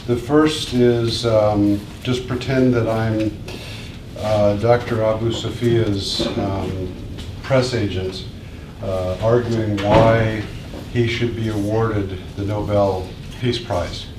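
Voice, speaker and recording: Man, midwestern, prepared talk, measured, faint background noise. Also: on the low side, steady tone. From American Midwest. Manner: measured, reads from prepared remarks with pauses. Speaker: invited speaker. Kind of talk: prepared speech at a public event. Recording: echoey room, mic at a distance.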